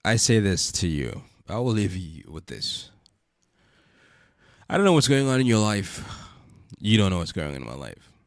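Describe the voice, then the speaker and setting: Congested male podcast host, lower voice, earnest and preachy, dry booth sound. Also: huge pitch swings, moderate pace, pauses often, no room sound. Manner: earnest, preachy, repeats rhetorical questions. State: congested from a cold. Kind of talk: reflective spoken monologue.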